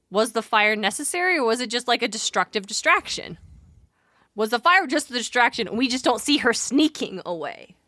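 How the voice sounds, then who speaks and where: A woman's voice: fairly high pitch, sharp tone, no room sound, clean studio sound. A woman co-hosting a podcast, chatting casually and informally about mythology as she thinks aloud. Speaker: podcast co-host.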